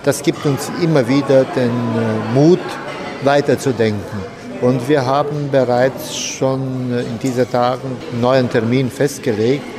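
A man speaking as event organizer in an interview, steady with occasional fillers. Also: mid-range voice, measured pace, music in the background. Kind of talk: interview answer.

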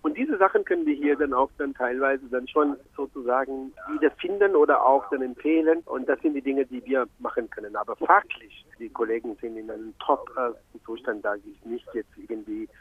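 A man's voice: mid-range voice, normal speed, few pauses. A man from Sri Lanka, a visiting doctor, giving an earnest, rambling interview answer with many restarts. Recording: tinny phone-like line.

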